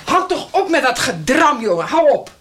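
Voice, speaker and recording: A woman's voice: high-pitched, very animated, moderate pace, fairly bright tone. A woman rehearsing radio drama lines and making off-script remarks, on a noisy studio outtake.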